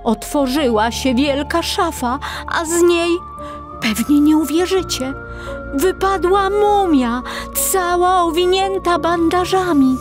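A woman narrating a scripted children's story in an expressive, suspenseful way. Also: very high voice, takes it slowly, music in the background.

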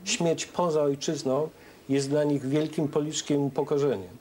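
A middle-aged man, a political scientist on a TV studio panel, explaining points in a measured way. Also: a little high, steady tone, mellow, a little hiss or hum.

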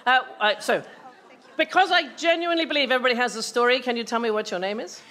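An older woman hosts an awards lunch from a lectern in a big banquet hall, playful and quick with a joke. Her voice is bright and high, and she talks at a fast clip. A low murmur of the crowd sits behind her. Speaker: awards host. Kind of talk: lively event hosting with jokes. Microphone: lectern microphone.

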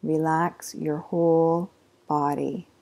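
A woman teaching a guided yoga nidra relaxation, giving slow, soft body instructions with long pauses. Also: low-pitched, flat delivery, vocal fry. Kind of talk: guided meditation.

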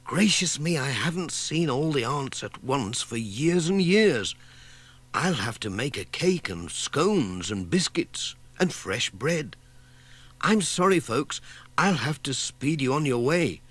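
Storybook role: kindly, homely housewife played by a man, flustered and exclamatory, lifted pitch, swooping delivery. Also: sing-song, slow pace, a bit bright, slightly rough, exaggerated voice. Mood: flustered, pleased. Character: flustered, kindly countrywoman, busy housewife and baker.

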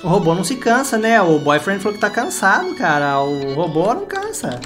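A man's voice: high-pitched, sing-song, a little fast. A man in his thirties or forties with a Brazilian accent, chatting casually and teasing playfully during gameplay. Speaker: gaming channel host. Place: room at home, indoors. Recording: loud game music behind.

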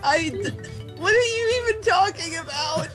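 A woman's voice: very high-pitched, lively intonation, unhurried, music in the background. A woman on a call reacting with giggly, baffled laughter. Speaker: player on a call.

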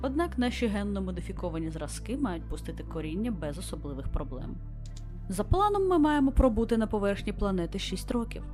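Female starship captain read by a woman, formal and measured log entries for a visual novel, expressive pitch movement, faint music underneath. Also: natural voice. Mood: composed, factual. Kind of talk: scripted narration read aloud from a visual novel.

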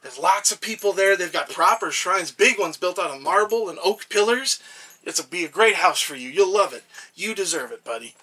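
A man voicing a small, devoted male shrine god who talks in an anxious, excitable, affectionate way. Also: high voice, normal speed, slightly rough, heavily acted. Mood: excited.

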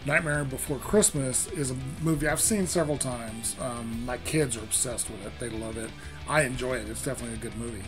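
A man's voice: medium pitch, a little fast, rough recording. A middle-aged man hosting a music reaction from home, talking casually and enthusiastically with lots of ums and restarts. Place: home room with Halloween decor and lights.

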